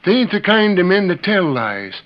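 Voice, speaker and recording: A man's voice: high voice, very animated, moderate pace. An actor playing a plain-spoken older rancher and troubled father in an old-time radio western, with a rustic drawl. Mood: resigned. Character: folksy older rancher, grieving father of condemned son. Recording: muffled old radio recording.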